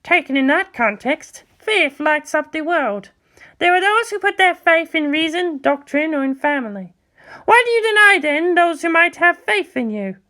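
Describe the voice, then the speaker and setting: A woman's voice: very high voice, big pitch swings, moderate pace, bright, forceful tone, heavily acted. A woman voicing an old male monk, a sardonic teacher who asks pointed, challenging questions in a dramatised story. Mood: earnest challenging. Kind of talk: dramatised fan fiction reading.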